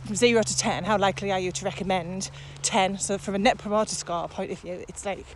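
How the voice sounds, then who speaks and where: A woman with a mid-pitched voice talks in a casual, enthusiastic way as a podcast co-host. She is walking outdoors, with faint ambient noise behind her. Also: a little fast. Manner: enthusiastic, animated about the subject. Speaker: podcast co-host and virtual training coach. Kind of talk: casual conversation recorded while walking. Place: outdoors, walking on a muddy path.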